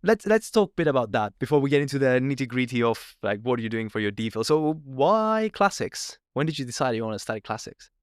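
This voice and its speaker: A man hosting a podcast, asking a guest about his studies in a lively, chatty way and often repeating words as he starts. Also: mid-range voice, fast, hardly any pauses, no echo, clean studio sound. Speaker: podcast host. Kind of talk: casual interview questions.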